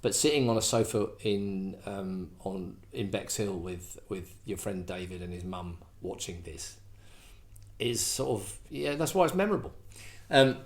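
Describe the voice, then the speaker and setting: A man's voice: on the low side, expressive, a little slow. A middle-aged man from England hosting a casual request show, rambling and self-deprecating, full of fillers and restarts. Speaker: host. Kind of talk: casual chat.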